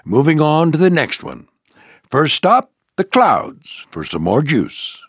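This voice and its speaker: An older American man voicing a proud, gruff old military plane who mentors a squadron in a video game, with a gravelly voice and a commanding, folksy manner. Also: on the high side, wildly swooping pitch, takes it slowly, no room sound, studio quality, exaggerated voice. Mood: brisk, focused. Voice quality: gravelly, husky. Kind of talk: scripted video game character lines.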